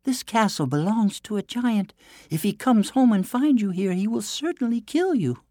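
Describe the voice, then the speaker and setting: Man putting on a high-voiced anxious young princess. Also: expressive, normal speed, dark tone, no echo, clean studio sound. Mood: urgent warning. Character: beautiful young princess, anxious but clever.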